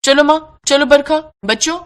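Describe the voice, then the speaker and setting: A woman's voice: high voice, normal speed, pitched above the performer's own voice, close to an everyday voice. A woman voicing a cruel, scolding middle-aged daughter-in-law in a moral story, harsh and contemptuous. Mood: irritated.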